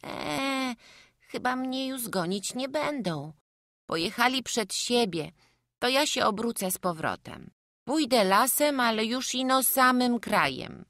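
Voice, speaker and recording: A woman's voice: fairly high pitch, lively intonation, slow, fairly bright tone, no echo, clean studio sound, exaggerated voice. A woman voicing a small, humble and wary peasant boy who speaks in rustic village dialect, in an audiobook. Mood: earnest. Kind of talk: audiobook acting.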